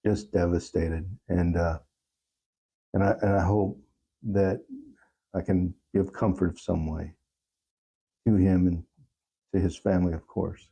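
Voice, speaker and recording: Male interview guest, low and husky, heavy creak, thoughtful and halting delivery. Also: steady tone, dark tone, low-quality recording. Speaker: podcast guest, funeral officiant. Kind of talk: reflective interview conversation.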